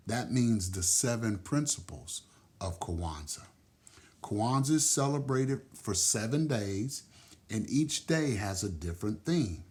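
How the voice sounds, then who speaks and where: A man telling and explaining a children's story in a deliberate, expressive way. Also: on the low side.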